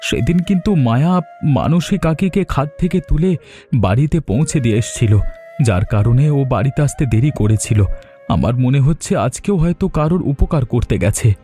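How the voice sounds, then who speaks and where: A caring village father, voiced by a male actor in a cartoon fable. He is gentle, anxious and earnest, with a mellow, soft-edged tone. Faint music plays underneath. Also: medium pitch, big pitch swings, a little fast, lightly acted. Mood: fond, earnest. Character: caring, worried poor village father and husband. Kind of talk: Bangla moral-story cartoon dubbing.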